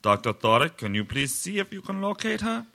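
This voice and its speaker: A man playing a calm hypnotist doctor in a dark comedy sketch, coaxing slowly and formally. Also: high voice, gravelly, full character voice. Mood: polite insistence. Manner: slow, soothing, formal coaxing.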